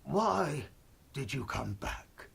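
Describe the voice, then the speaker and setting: A storyteller voicing a sad, gentle, lonely male wolf in a subdued, hesitant way for a children's bedtime story. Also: mid-range voice, sing-song, extremely slow, vocal fry, a little hiss or hum, full character voice. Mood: downcast, wary.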